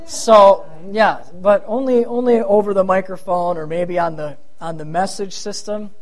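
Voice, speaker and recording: A man's voice: sits high, a little slow. A middle-aged man with a thin voice giving a sermon as pastor, chatty and self-deprecating with rambling asides.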